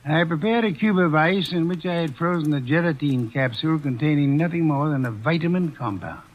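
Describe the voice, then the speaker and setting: An actor in a 1940s radio drama playing a calm, superior male detective who lectures in measured, dryly amused tones. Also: on the high side, close to an everyday voice. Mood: explaining. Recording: dull old radio transcription.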